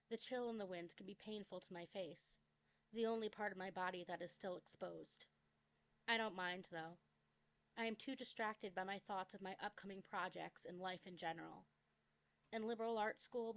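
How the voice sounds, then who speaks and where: A young adult woman reads her own memoir aloud as a scripted dramatic narration, with a deliberate, expressive delivery. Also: medium pitch. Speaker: memoir author reading own work.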